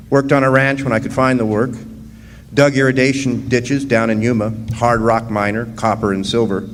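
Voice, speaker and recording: An actor playing a plainspoken, folksy young drifter miner of 1917 in a radio drama. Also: male voice, mid-range voice, close to an everyday voice. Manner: folksy, plainspoken, drawling dropped grammar. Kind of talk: first-person radio drama narration and dialogue. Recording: roomy live hall stage, background hiss.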